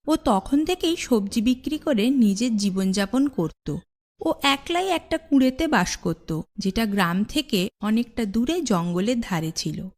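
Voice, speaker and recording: Lady storyteller reading a kids fairy tale, mid-pitched voice. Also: darkish tone. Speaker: storyteller, narrator. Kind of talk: scripted fairy-tale narration for children.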